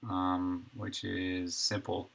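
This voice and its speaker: A man in his thirties, a game developer thinking aloud in a halting, musing way at his home desk while streaming his work. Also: low-pitched, completely flat delivery, gravelly, a bit bright, low-quality recording. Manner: musing, halting, talks to himself.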